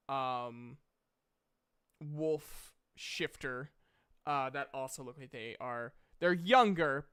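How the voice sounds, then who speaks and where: Slow, bright-voiced male game master. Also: mid-range voice, smooth. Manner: halting, thinking aloud, playful asides. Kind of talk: improvised narration of a tabletop fantasy game.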